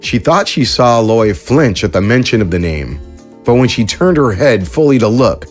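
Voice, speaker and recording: A narrator reading an audio drama story in a measured, even way. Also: mid-range voice, soft background music. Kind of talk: scripted narration.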